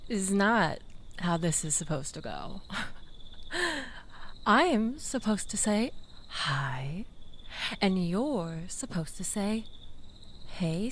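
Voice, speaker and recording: Slow, coy, manipulative ex-girlfriend, acted by a woman. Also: mid-range voice, a little hiss or hum. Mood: playful, coy. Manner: coy, teasing, mock-wounded, drawn-out with breathy dips. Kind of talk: intimate audio roleplay acting addressed to listener.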